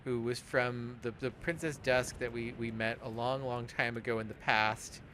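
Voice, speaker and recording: A man giving casual, halting commentary on a video game, explaining as he goes and repeating words. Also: medium pitch, fairly even intonation, sharp tone. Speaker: let's play podcast host. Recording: clear background noise and game ambience.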